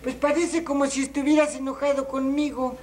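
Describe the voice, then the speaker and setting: Una mujer que hace de un niño pobre y cándido, con una voz aguda e insistente, grabado con ruido de fondo. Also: female voice, high voice, little pitch movement, brisk, darkish tone, some chatter behind, a little hiss or hum, lightly acted. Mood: insistent. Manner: insistent, innocent questioning. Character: poor, naive, pestering young boy.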